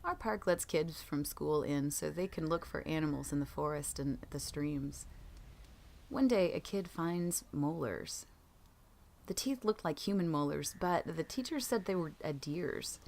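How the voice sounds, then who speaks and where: A woman's voice: sits low, expressive, a little slow, gravelly, a little mellow. A woman reading scripted true scary stories as a storytelling narrator.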